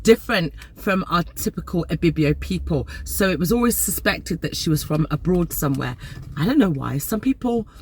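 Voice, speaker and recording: A Nigerian-born woman in her forties, casually talking to camera in a chatty, animated way and repeating words for emphasis. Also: lowish voice, slight background noise, poor audio. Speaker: vlogger.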